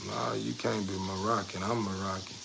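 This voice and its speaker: A man's voice: lowish voice, level tone, moderate pace, gravelly, heavy background noise. An American man hosting a casual livestream, rambling in a folksy, encouraging way with repeated catchphrases. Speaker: livestream host of a dog breeder channel.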